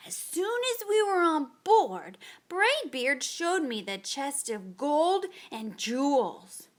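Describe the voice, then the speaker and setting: A woman's voice: sits high, very animated, slow. A woman hosting a read-aloud show for children, narrating storybooks in a theatrical, playful and exaggerated way.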